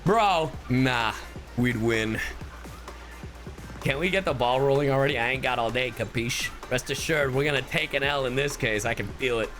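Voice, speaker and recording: A man's voice: medium pitch, moderate pace, a bit bright, soft background music. A man streaming casual live reactions to a game, joking and slangy. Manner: joking, slangy, breaks into humming and catchphrases.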